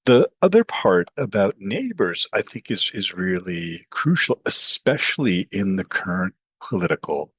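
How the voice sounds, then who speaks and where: A man's voice: deep voice, big pitch swings, a little slow, few pauses, a bit bright, breathy edge, dry recording. A middle-aged man from Pakistan, an author and professor, talking animatedly in an interview, often saying "you know" and acting out the voices of others. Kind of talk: interview conversation.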